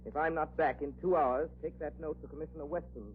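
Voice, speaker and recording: Guy playing a calm serious gentleman detective, giving firm orders, tinny old radio mystery. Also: a little high, quick pace, a little hiss or hum, lightly acted. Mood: grave, firm. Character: composed, authoritative gentleman sleuth, urgent and reassuring. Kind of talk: 1940s radio acting.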